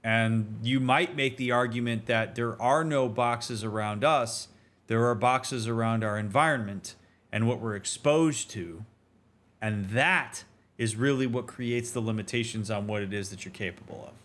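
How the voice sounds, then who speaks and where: A man talks through a game as a streamer, with a mid-pitched voice. His delivery is earnest and emphatic, explaining ideas conversationally as he reflects out loud. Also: unhurried. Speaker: streamer, therapist host. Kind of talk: reflective gameplay commentary.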